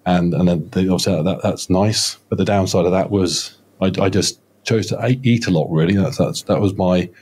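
A man's voice: low voice, normal speed, hardly any pauses, darkish tone, slightly rough. A man of about fifty, a podcast guest telling his story in a rambling, self-deprecating way, with many restarts. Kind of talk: casual podcast interview. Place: home studio room, indoors. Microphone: close studio mic with headphones, video call.

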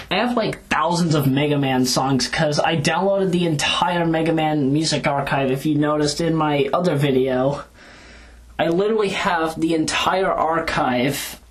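An informal audio blogger with a mid-range voice talks off the cuff into a handheld recorder. The delivery rambles and hesitates, with frequent self-corrections, in the manner of a casual personal log. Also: mid-range voice. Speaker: video blogger. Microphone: handheld audio recorder moved back and forth.

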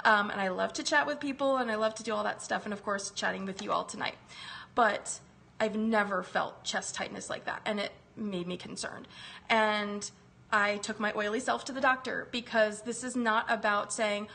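A woman's voice: medium pitch, fairly even intonation, moderate pace, gravelly, sharp tone. A woman in her thirties chatting on a live stream from her home kitchen, recovering from a chest virus and greeting viewers by name. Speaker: wellness live-stream host. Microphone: phone camera close up.

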